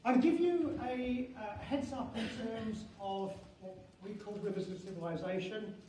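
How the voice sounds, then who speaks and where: An older man lectures at an academic conference in a high, soft-edged voice. He proceeds haltingly, restarting phrases and leaning on key terms. The sound is heavily reverberant and picked up from a distance. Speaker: university professor and head of geography school. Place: large echoing conference venue indoors. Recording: very echoey hall, distant mic, faint noise.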